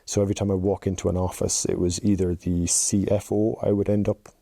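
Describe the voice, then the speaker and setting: Irish guy in his 40s, podcast guest, low voice, pretty flat pitch, chatty and tells little stories. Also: brisk, vocal fry, dry recording, clean studio sound. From rural Ireland. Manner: chatty, anecdotal. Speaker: podcast guest, chief executive. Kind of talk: conversational interview answers.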